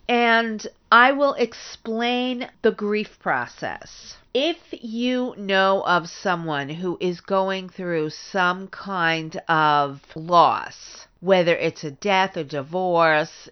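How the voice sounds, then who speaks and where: A woman hosts a solo advice podcast, talking directly to her listeners in an informal, explanatory way. She speaks slowly in a smooth, clear voice that sits a little low. The recording is slightly dull. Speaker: podcast host; grief therapist and author.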